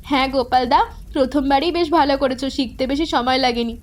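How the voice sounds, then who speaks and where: An actress voicing a sincere young village daughter who loves cooking, in a cartoon. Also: female voice, sits high, fairly even intonation, a little fast, close to an everyday voice. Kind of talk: cartoon voice acting.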